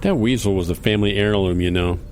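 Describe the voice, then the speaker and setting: A man playing a goofy, absent-minded dad in a comedy radio play, earnest and animated. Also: on the low side, fast talker, slight background noise, close to an everyday voice. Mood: indignant.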